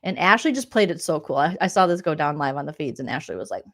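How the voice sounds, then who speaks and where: Woman comedian, snarky recap host, mocks the cast with quick impressions. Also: sits low, expressive. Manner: mocking, jumps into exaggerated character voices. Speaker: comedian recapping a reality show.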